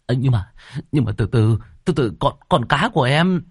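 Guy putting on a high whiny broke peasant, stuttering and begging, dry close sound. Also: big pitch swings, slow pace, creaky, dry recording, full character voice. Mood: flustered. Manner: stammering, pleading, wailing. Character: desperate poor peasant man, whimpering, stammering.

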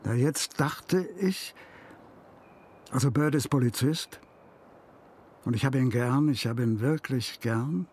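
An actor playing a troubled, grieving middle-aged father in a radio crime drama, halting and insistent as he searches for words. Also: mid-range voice, darkish tone, breathy edge.

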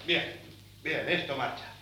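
An actor playing an eager, bossy, vain young son in a 1970s Spanish radio play, theatrical and excitable, with a Castilian accent. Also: male voice, a little high, slow pace. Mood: excited, bossy. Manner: theatrical, excitable, stammers when flustered. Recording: hissy old cassette radio recording.

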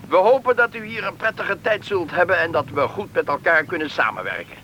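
An actor playing a helpful, talkative settlement official who politely explains things to newcomers in a Dutch radio play. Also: male voice, sits high, animated, pushed and bright, highs cut off. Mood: helpful, explaining. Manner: explanatory, polite, brisk guide. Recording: old radio play, faint background noise.

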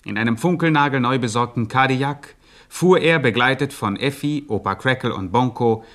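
A man giving measured, mock-dramatic scripted narration for a radio crime satire. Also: mid-range voice, fairly bright tone.

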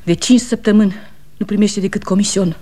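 A woman's voice: mid-range voice, mellow, natural voice. An actress playing a weary, fiercely loyal aging wife and mother, pleading and reproachful, in 1960s radio theatre style. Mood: indignant grief.

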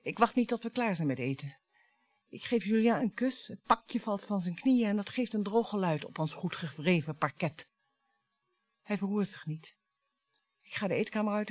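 An actress playing a wounded, bitter wife and mother in a radio drama, theatrical and sarcastic, moving between hushed and emphatic speech. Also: on the low side, no room sound. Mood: agitated.

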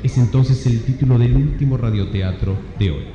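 A man's voice: mid-range voice, normal speed, dull audio. A man presenting improvised comic radio theatre as host. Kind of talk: stage comedy presenting. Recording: echoey hall with music.

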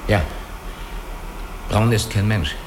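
An actor in a radio drama playing a guarded, analytical spaceship crewman who speaks deliberately and reasons step by step. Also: fairly low pitch, noisy background. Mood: confiding, measured. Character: guarded, analytical male spaceship crewman hiding his identity. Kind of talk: German radio play dialogue.

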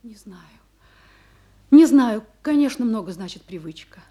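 A husky, mellow female voice with a languid theatrical delivery. a discontented, refined married gentlewoman, sensitive and disdainful, in a classical drama. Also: fairly high pitch, animated, unhurried. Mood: wistful. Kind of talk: classical stage drama acting.

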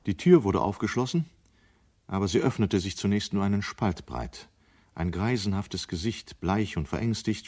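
A man with a deep voice narrates a radio drama. He reads scripted literary prose as a storyteller. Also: a little mellow, slightly rough.

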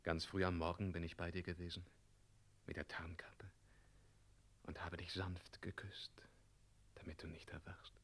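An actor playing a bitter, weary heroic knight in a classic German radio play, theatrical, moving between hushed and thundering delivery. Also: male voice, low-pitched, flat delivery, unhurried, long pauses, slightly rough, exaggerated voice. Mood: tender, anguished.

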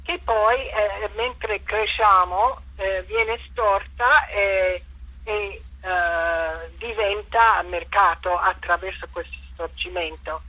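A woman's voice: mid-range voice, slow, sharp tone, slightly rough, low-quality recording. A woman answering interview questions, explaining ideas in an unscripted, hesitant way and searching for her words. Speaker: interviewed author and thinker.

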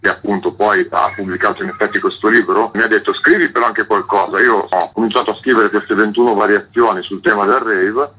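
A man's voice: on the low side, bright, forceful tone, slightly rough, highs cut off. A man, a guest author talking casually and discursively in an interview. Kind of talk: casual interview talk about own book.

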